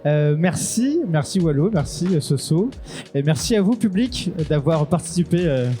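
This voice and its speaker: Mellow-voiced podcast host. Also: male voice, a little high, expressive. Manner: brisk, thanks people in quick succession. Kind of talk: live podcast hosting. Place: convention stage before a live audience.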